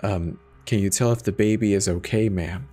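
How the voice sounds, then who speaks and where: A man playing a steady, reassuring emergency dispatcher in a horror audio drama, urging the caller along. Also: lowish voice, moderate pace, natural voice. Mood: concerned. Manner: calm, reassuring, soft-spoken urging.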